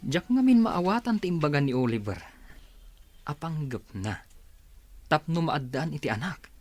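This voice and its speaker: A man's voice: fairly high pitch, very animated, normal speed, a little mellow. A man acting an easygoing, doubtful friend who is respectful to elders, in an Ilocano radio drama. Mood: confiding.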